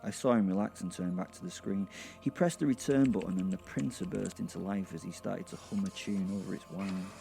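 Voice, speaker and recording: A man's voice: sits low, fairly quick, vocal fry, dark tone, soft background music. A man narrating a comic horror audio drama in the first person, with a wry, conversational manner.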